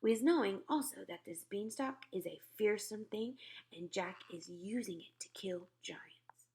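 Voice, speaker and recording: A woman voicing a kindly, cautious male giant in a storybook, speaking in hushed tones with quaint muddled grammar. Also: mid-range voice, a little slow, natural voice. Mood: confiding, grave. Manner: hushed, whispering, confiding.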